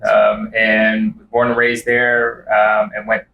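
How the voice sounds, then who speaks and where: Philly guy, flat gravelly voice, lots of ums, echoey room. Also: lowish voice, muffled recording. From Philadelphia, USA. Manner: measured, with many "um" pauses. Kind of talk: interview answers. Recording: roomy, with faint noise.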